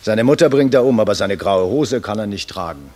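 An actor playing a stout, boisterous young medical student in a theatrical, teasing 1960s German radio drama style. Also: male voice, on the low side, expressive, fairly quick, a little mellow. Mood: mocking banter. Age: young adult.

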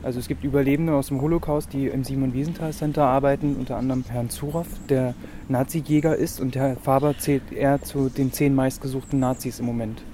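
A man's voice: medium pitch, fairly even intonation, a little fast, dark tone. Male vox-pop reporter, direct and persistent, recorded outside on a street with light background noise. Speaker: street interviewer. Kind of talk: informal vox-pop questioning. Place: outdoors on a residential street.

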